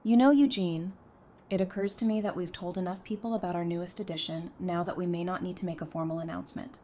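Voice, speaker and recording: A woman's voice: on the low side, steady tone, fast talker, warm tone, lightly acted. A woman playing an upbeat, teasing expectant mother and loyal best friend in an audio drama. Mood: relaxed.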